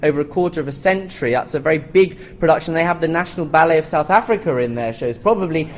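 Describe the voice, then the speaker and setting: A man's voice: fairly high pitch, fast talker, slight background noise. A man speaking informally and knowledgeably as a panelist in a live theatre discussion. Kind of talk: informal expert panel talk.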